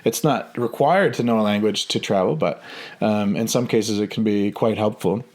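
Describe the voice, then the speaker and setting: A man in his thirties telling a personal story in a relaxed, hesitant way, full of ums and restarts. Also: on the low side, normal speed. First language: English. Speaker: vlogger.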